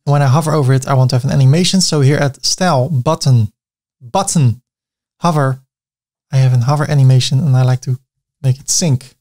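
A man in his thirties with a clear Dutch accent talks through building a website step by step. His voice sits at a middle pitch, and he keeps it chatty with small jokes at his own expense. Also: fairly quick, darkish tone. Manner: chatty, self-mocking asides. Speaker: web design tutor. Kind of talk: screen-recorded software tutorial.

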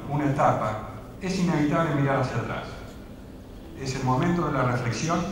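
A middle-aged man with an Argentine accent, a director giving a formal ceremonial speech at an outdoor podium, measured and paced in short phrases. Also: mid-range voice, a little hiss or hum. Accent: Argentine Spanish. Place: outdoor courtyard of a building, at a podium. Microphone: podium microphone on a stand. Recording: echoey outdoor PA from far off.

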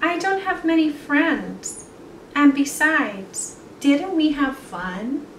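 A woman's voice: high-pitched, big pitch swings, slow, fairly bright tone, exaggerated voice. A woman voicing a hungry, needy bear from a children's picture book, hesitant and making excuses. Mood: sheepish. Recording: echoey room, distant mic, some noise.

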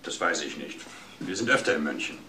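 Grumbling innkeeper, roomy noisy recording. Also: male voice, very deep voice, animated, a little fast, pushed and bright, exaggerated voice. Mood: dismissive. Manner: complaining, evasive, irritable. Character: defensive, grumbling male country innkeeper. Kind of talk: radio crime drama acting.

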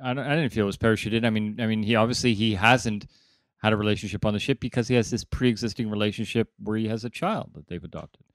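Male podcast panelist, low voice, dry close sound, emphatic and discursive in casual debate. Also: steady tone, quick pace, dry recording. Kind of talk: casual debate among friends.

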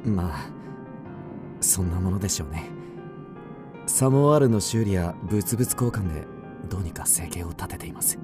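An actor playing a gentle, weary young officer on the run, polite and measured, in a radio drama. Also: male voice, low-pitched, animated. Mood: polite, resigned. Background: music.

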